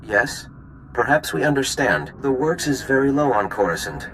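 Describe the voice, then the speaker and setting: A formal alien robot scientist for a game, stilted odd phrasing, some background noise. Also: male voice, mid-range voice, very animated, moderate pace, a bit bright, rough recording. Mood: formal, curious. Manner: stilted, oddly worded, formal. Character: alien science droid with odd stilted speech, politely pedantic. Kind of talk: video game dialogue.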